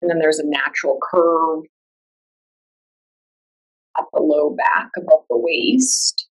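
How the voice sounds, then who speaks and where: A woman guiding a meditation in a calm, soothing, unhurried way, leaving long pauses between instructions. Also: deep voice, husky, low-quality recording. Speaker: yoga nidra meditation guide. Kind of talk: guided meditation.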